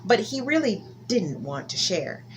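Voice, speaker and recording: A woman telling a folktale to children in an expressive style, stretching key words for dramatic emphasis. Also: mid-range voice, a little slow, faint music behind. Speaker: children's storyteller.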